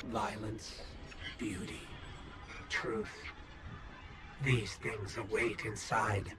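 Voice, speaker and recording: A man voicing a cold, patient otherworldly spirit in a video game, speaking in a measured, portentous, beckoning way. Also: on the low side, little pitch movement, recorded from a distance, loud music behind the voice. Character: ominous, seductive otherworldly dark spirit, coldly patient. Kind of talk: slow, ominous video game monologue.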